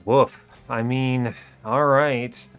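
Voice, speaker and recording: A man with a medium-pitched voice talks through his moves as a gaming host. His commentary is casual and colloquial, with short wry asides as he reasons aloud. Game music plays faintly behind him. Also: fairly even intonation, very slow, a bit bright, smooth.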